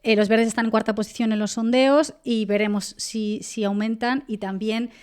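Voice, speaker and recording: Clear-voiced Spanish woman explaining in detail. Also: a little high, steady tone, moderate pace, darkish tone. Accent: Castilian Spanish. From Spain, La Mancha. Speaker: guest journalist and political analyst. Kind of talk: conversational podcast interview, explanatory.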